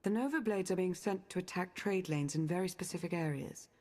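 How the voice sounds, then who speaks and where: A woman voicing a cool, calculating intelligence agent in a video game, measured, formal and controlled. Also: on the low side, darkish tone, lightly acted.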